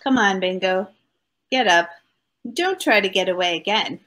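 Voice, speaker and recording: A woman's voice: mid-range voice, lively intonation, moderate pace, a bit bright, lightly acted. A middle-aged woman playing a cool, dryly witty detective's wife in 1940s radio comedy style. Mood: cool, probing. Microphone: seated before camera on video call.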